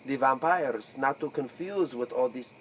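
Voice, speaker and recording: A man playing a secretive vampire clan member in a comic interview, speaking halting, repetitive broken English. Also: medium pitch. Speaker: interview guest in character. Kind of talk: improvised comic role-play interview. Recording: noisy, crickets and insects behind.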